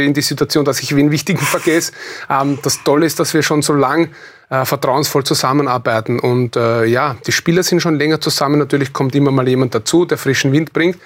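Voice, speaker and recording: A man with an Austrian accent speaking earnestly and enthusiastically as an athlete guest in a studio interview. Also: medium pitch.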